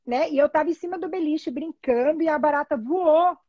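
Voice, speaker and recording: A middle-aged Brazilian woman telling personal stories casually, in an animated, emphatic, chatty way over a video call. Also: sits high, a little fast, no echo. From Salvador, Brazil. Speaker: guest, therapy trainee telling her experience. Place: small room at home, indoors. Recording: dull, lo-fi video call audio.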